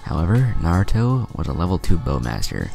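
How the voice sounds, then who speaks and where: A man reading a fan fiction story aloud in a plain, steady way, with the odd slip. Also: low-pitched, a little fast, gravelly, soft background music.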